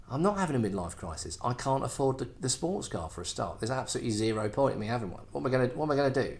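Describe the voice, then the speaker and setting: A middle-aged man from England hosting a casual request show, rambling and self-deprecating, full of fillers and restarts. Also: medium pitch. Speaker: host. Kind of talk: casual chat.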